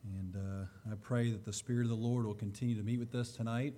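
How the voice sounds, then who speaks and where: A man preaching a sermon and reading scripture, slightly hoarse, with a deliberate, emphatic delivery. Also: on the low side, level tone, mellow, a little hiss or hum.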